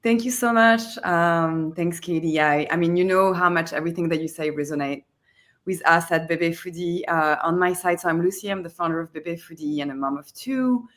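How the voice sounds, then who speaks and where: A woman's voice: fairly low pitch, moderate pace. A woman in her thirties with a French accent, a company founder speaking casually on a webinar panel with many fillers. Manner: conversational, many fillers like "like" and "you know".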